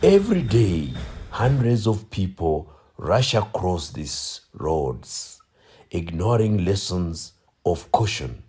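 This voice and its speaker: Male actor as radio play narrator, theatrical, solemn, raspy, unhurried. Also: sits low, big pitch swings, warm tone, exaggerated voice. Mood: ominous. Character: grave, dramatic male narrator of a road tragedy. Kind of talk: dramatic scripted narration.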